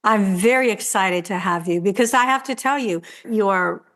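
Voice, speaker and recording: A woman's voice: mid-range voice, normal speed, a bit bright. A woman hosting a podcast, introducing and interviewing her guest in an upbeat, emphatic, effusive way. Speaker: podcast host.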